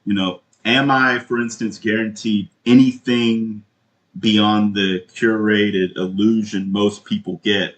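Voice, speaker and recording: A man calling as a customer, asking questions in a halting, earnest and insistent way. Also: fairly low pitch, fairly bright tone. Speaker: caller, prospective customer. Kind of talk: phone inquiry, questioning. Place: indoor room, phone call. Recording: roomy echo on a recorded call.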